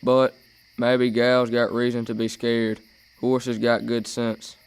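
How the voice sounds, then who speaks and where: A man's voice: mid-range voice, monotone, slow, clean tone. A young man acting an anxious, stubborn ten-year-old brother in an amateur radio play. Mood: wary. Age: teenager or young adult.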